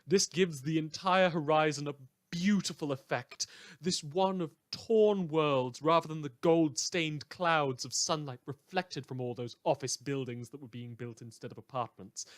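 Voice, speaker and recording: A man performs a snide, excitable small-town radio host in an audio drama. His voice sits a little high for a man. He delivers mock news with theatrical sarcasm, shifting between low asides and loud emphasis. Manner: sardonic, theatrical, swinging from muttered asides to outbursts.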